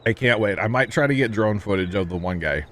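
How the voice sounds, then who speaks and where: A man's voice: lowish voice, animated, fairly quick, a bit bright. A man chatting casually with his stream viewers while he plays, telling rambling jokey stories and chuckling often. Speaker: livestream gamer. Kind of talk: casual livestream chat with viewers.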